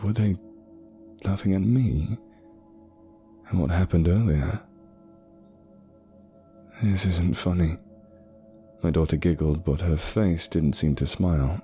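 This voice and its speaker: A man reading a first-person horror story as a scripted narration, in a measured, suspenseful manner. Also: deep voice, warm tone. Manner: measured, suspenseful, short pauses. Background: eerie background music.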